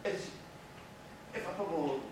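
A man's voice: on the high side, a little slow, natural voice. A man playing an evasive, defensive street actor who stammers and repeats himself in a live stage farce, recorded in a very echoey space. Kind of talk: live theatre farce dialogue. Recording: very echoey distant stage recording, audience noise.

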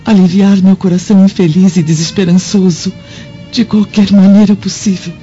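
Woman acting a mellow, earnest Roman noblewoman. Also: medium pitch, fairly even intonation, moderate pace, close to an everyday voice. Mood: anguished. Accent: Brazilian. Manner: earnest, formal, melodramatic. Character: noble Roman senator's wife, anguished, pious and resolute. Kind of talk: dubbed radio drama acting. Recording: dull lo-fi audio with music underneath.

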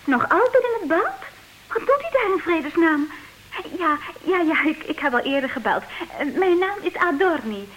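A woman's voice: very high voice, sing-song, normal speed, a bit bright, exaggerated voice, low-quality recording. A woman acting a haughty, combative duchess in a comic radio play. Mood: flustered on phone. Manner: indignant, curt, imperious. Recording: hissy old radio play recording.

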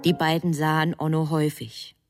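A woman playing a composed, superior angel who narrates a divine plan in a radio play, with measured, wryly formal literary storytelling. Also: deep voice, little pitch movement, over music. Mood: calm narration.

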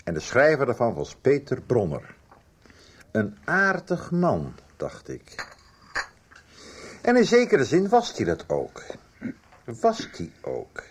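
An actor playing an older book publisher who haltingly and deliberately recounts a guilty past in a radio drama. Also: male voice, mid-range voice, huge pitch swings, slightly rough, exaggerated voice. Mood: reflective, confessional. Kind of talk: radio drama dialogue, reminiscing.

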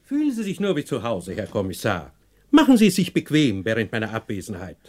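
A man acting a stern, proud, unforgiving older patriarch in a German radio play, cold, sarcastic and measured. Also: lowish voice, wildly swooping pitch, darkish tone. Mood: sarcastic, indignant.

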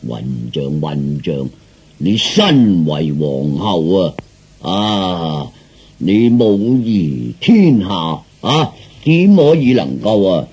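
Man doing a low, pompous aging emperor. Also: sing-song, extremely slow, darkish tone, slightly rough, full character voice. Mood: pompous scolding. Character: pompous, gullible self-styled emperor, man of fifty-nine.

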